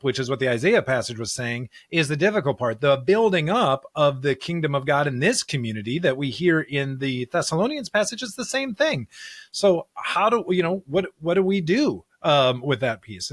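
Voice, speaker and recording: Higher-voiced man co-hosting a podcast, dry sound. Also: a bit bright, dry recording. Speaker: podcast co-host. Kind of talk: conversational discussion of scripture.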